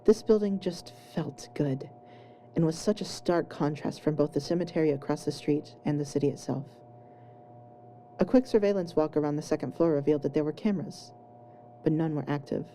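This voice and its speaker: A woman with a low, very dark and mellow voice reads scripted story narration. There is faint noise in the home recording. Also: fairly quick. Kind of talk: scripted fan fiction narration.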